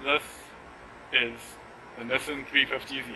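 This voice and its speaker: A young man talking casually to a handheld camera, first in a car, then outdoors in a parking lot, relaxed and chatty, laughing easily. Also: mid-range voice, a little slow, background noise. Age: young adult. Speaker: vlogger. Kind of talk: casual vlog narration. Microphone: handheld camera at arm's length.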